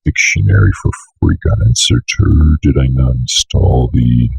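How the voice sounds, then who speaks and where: A young man with a bit of a cold giving a casual software walkthrough in an offhand, mumbling way. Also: very deep voice, normal speed, gravelly, husky, no room sound, low-quality recording. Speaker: software tutorial presenter. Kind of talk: casual screen-recorded walkthrough. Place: indoor room at a computer desk.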